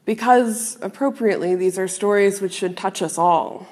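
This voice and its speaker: A woman minister giving a sermon to a congregation, speaking in a measured, earnest and reflective way with pauses. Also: medium pitch, a little mellow. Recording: long reverb of a large hall.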